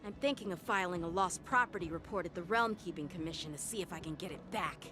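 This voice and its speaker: A woman's voice: fairly low pitch, fast talker, music in the background, close to an everyday voice. A woman playing a polite, earnest young officer in a video game. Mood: earnest, casual.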